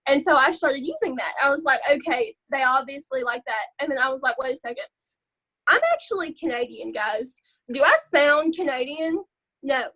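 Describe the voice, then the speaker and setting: Loud, chatty Alabama woman with a high voice. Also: lively intonation, normal speed, poor audio. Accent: Alabama Southern. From Alabama, USA. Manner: loud, chatty, rambling, laughs often. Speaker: social media seller sharing branding tips. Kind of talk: casual live stream chat.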